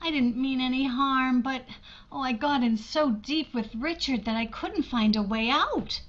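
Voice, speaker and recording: Woman playing an earnest high-voiced schoolteacher, dull recording. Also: unhurried, fairly bright tone. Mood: anxious, confessing. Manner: earnest, emotive. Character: lonely, earnest schoolteacher of thirty-six in romance. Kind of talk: 1940s radio drama acting.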